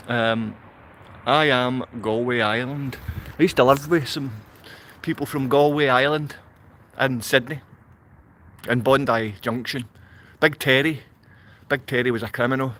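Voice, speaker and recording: A Glaswegian man speaks off the cuff to a livestream audience. His pitch sits in an average male range. He rambles, circles back on the same phrases and keeps throwing out rhetorical questions. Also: fairly even intonation, moderate pace, pauses often, a bit bright. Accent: Glaswegian Scottish. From Glasgow, Scotland. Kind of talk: unscripted livestream monologue to viewers.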